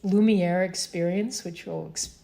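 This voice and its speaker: A woman's voice: on the low side, steady tone, a little slow, vocal fry, mellow, slight background noise. A middle-aged woman with an East Coast American accent, hosting a casual conversation and reflecting aloud with frequent "you know" and "right?". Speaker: host.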